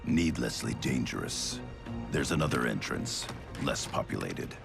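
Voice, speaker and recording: An actor playing a stern, commanding ninja clan grandmaster in a video game cutscene, speaking in a measured, authoritative way. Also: male voice, lively intonation, exaggerated voice. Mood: calm briefing. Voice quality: gravelly, very deep. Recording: loud game soundtrack music behind.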